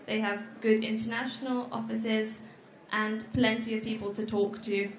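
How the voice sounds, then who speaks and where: A young woman giving a friendly presentation at an education fair, explaining slowly with small fillers. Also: on the high side, flat delivery. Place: large echoey exhibition hall. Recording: echoey hall.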